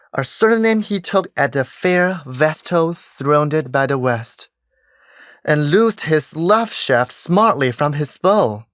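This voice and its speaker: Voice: medium pitch, a bit bright, clear, resonant tone, no echo, studio quality. An actor playing a lofty, commanding fairy monarch who tells tales in a theatrical stage-verse manner. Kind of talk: Shakespearean verse stage acting.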